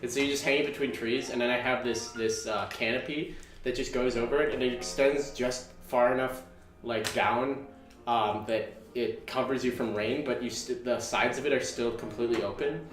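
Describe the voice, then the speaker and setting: A man's voice: medium pitch, fairly even intonation, normal speed, roomy sound, soft background music. A man of twenty chatting casually as a podcast co-host, rambling through a story with lots of fillers. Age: 20s. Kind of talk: casual chat.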